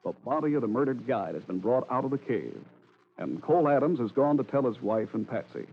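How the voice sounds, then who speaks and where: Animated American radio announcer on tinny recording. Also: male voice, medium pitch, brisk, breathy edge. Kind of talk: 1940s-50s radio announcing, scripted.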